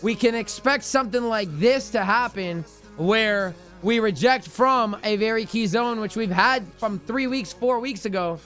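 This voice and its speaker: A man with a high-pitched voice hosts a casual live stream. He talks in a slangy, excitable way and banters jokingly with viewers. Music plays faintly behind him. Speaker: livestream host doing crypto chart analysis. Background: music playlist playing.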